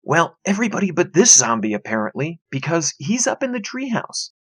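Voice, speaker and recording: A man's voice: fairly high pitch, very animated, fairly quick, husky, dry recording, pitched above the performer's own voice. A man as a glum, wry young boy. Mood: wry resignation. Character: glum, matter-of-fact imaginative young boy.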